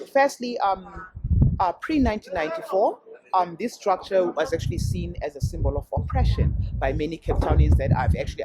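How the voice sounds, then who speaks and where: A man, a guide answering interview questions with many ums and restarts. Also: a little high. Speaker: castle guide. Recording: noisy recording.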